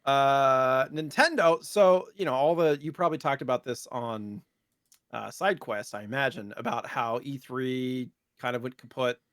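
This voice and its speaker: A man's voice: medium pitch, moderate pace, fairly bright tone, dry recording, studio quality. A man with an American accent in casual conversation, co-hosting a gaming podcast. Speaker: co-host of a retro gaming podcast. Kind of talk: casual chat about video games.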